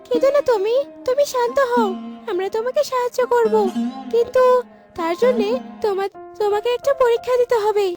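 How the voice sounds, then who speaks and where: A woman's voice: very high voice, a little fast. A woman voicing a magical talking banana tree, kindly and solemn, making reassuring pronouncements in a cartoon. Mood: solemn reassurance. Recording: music behind the voice.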